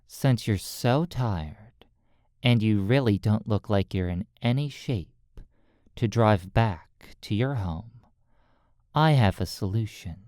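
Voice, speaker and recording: Slow, dry-recorded hypnotist, soothing and deliberate. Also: mid-range voice, mellow, dry recording. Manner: soothing, deliberate, pausing between short phrases. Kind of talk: sleep hypnosis roleplay, soft guided narration.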